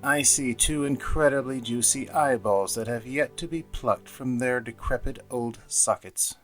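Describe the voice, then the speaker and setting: A man's voice: mid-range voice, measured pace, fairly bright tone, faint music behind, natural voice. A man voicing a sly, menacing raven with a drawling, dismissive manner.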